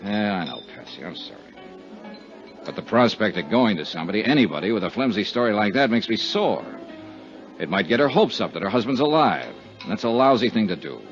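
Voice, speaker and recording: Hard-boiled, world-weary male investigator, lively inflection, wry and blunt, fifties radio drama with muffled sound. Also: fairly low pitch, a little fast. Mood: sour, irritated. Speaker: lead actor. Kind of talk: 1950s radio drama acting. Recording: dull 1950s radio recording, music behind.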